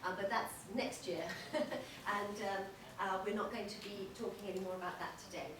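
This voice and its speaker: A woman giving a measured conference presentation, pausing often with small hesitations. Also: medium pitch, a crowd in the background. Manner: measured, steady delivery with frequent hesitations. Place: large conference hall. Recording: echoey, noisy hall from far off.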